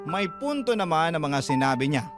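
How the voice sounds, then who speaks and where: A rueful first-person story. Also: male voice, a little high, very animated, brisk. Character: young man telling his own troubles in a letter. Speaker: letter sender narrating. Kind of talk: first-person radio drama narration. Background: loud background music.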